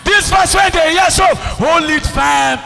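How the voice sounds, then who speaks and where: A man's voice: very high-pitched, a little slow, creaky, bright, forceful tone, raised voice, some chatter behind. A man with a Nigerian accent preaching a fiery, scolding sermon as a pastor, commanding and repeating his phrases. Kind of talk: fiery Pidgin-inflected sermon. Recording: echoey hall PA, music behind.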